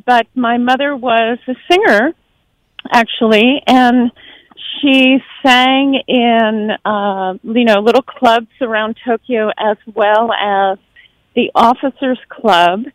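A woman's voice: fairly high pitch, slow, dry recording, low-quality recording. A middle-aged woman from Japan who grew up in America and speaks with an American accent, chatting reflectively as an interview guest. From born in Japan, grew up in the United States. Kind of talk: casual conversational interview.

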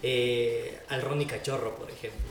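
A man's voice: mid-range voice, flat delivery, moderate pace. A man with an Ecuadorian accent, hosting a podcast interview in a casual, colloquial and somewhat hesitant way. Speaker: podcast host interviewing a guest. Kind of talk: casual chat. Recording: roomy echo, clear music behind. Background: music.

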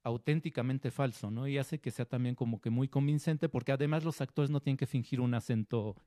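A man talks casually about films on a studio show and slips into short acted quotes. His voice is dark and mellow. The sound is dry and close, with no room echo. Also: medium pitch, a little fast. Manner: conversational, acts out quotes. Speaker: co-host film critic. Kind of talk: casual film review chat.

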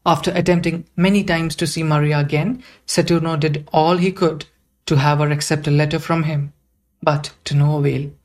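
A man's voice: fairly high pitch, steady tone, normal speed. A man narrating a scripted audio play as the storyteller.

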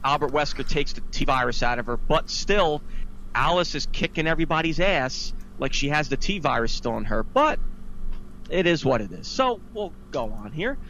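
Exasperated man ranting, fairly high-pitched, noisy background. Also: very animated, normal speed, pushed and bright, dull audio. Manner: exasperated, opinionated. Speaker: podcast host. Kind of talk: ranting film recap on a casual podcast. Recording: clear background noise.

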